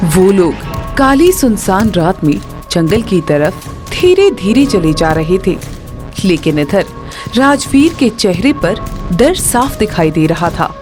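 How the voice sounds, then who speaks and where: A woman narrates a cartoon tale in a slow, expressive storybook manner. Her pitch rises and falls widely, and she never rushes. Music plays beneath her voice throughout. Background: background music.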